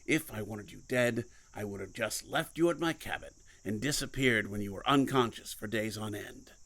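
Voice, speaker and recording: Man acting a gravelly, secretive mentor. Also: lowish voice, normal speed, a bit bright, poor audio. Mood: stern, aggrieved. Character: secretive, commanding, guilt-burdened older male mentor and survivor. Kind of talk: audio fiction podcast acting.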